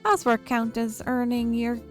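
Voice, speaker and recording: A woman's voice: a little high, monotone, normal speed. A woman streamer reads visual novel text aloud expressively, dropping in casual asides. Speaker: video game streamer. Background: game music.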